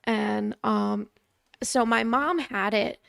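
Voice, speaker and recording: A woman's voice: on the high side, takes it slowly, creaky, a bit bright, smooth, no room sound, clean studio sound. A woman from Indiana chatting casually as a podcast co-host, laughing often. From Indiana, USA. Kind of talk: casual chat.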